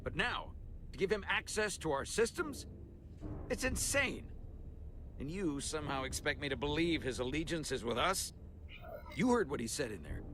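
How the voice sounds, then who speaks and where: A man's voice: on the high side, sing-song, moderate pace, pauses often, background noise. A man playing a suspicious starship captain in a video game, with a sarcastic, condescending manner. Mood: indignant.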